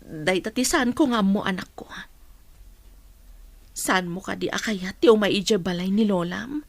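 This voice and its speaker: A woman's voice: medium pitch, normal speed, vocal fry, dry recording. A woman playing a caring, troubled working mother in radio drama dialogue. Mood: gentle concern.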